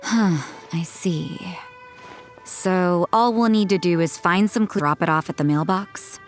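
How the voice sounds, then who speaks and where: A woman voicing an aloof, sardonic loner in a video game, deadpan and detached. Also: lowish voice, measured pace, faint music behind, close to an everyday voice. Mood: dry, detached.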